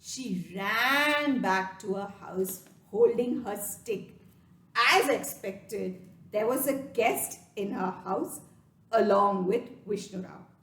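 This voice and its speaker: Indian-accented woman, folktale storyteller, unhurried, emphatic pauses, echoey room. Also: mid-range voice, fairly bright tone. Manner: dramatic pauses, emphatic repetition, addresses listeners. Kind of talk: expressive oral folktale storytelling for listeners.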